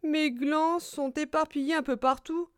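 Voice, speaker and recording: Woman voicing a busy, high-pitched squirrel. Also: fairly even intonation, dry recording, clean studio sound. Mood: dismayed. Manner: hurried, exclaiming. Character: busy, hurried squirrel, too occupied to play.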